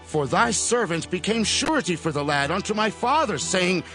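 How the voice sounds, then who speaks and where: A man's voice: high voice, sing-song, moderate pace, bright, forceful tone, soft background music, exaggerated voice. A man playing a humble, anxious brother pleading before a lord in a dramatized Bible audio, in an imploring, declamatory manner. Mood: sorrowful, earnest pleading.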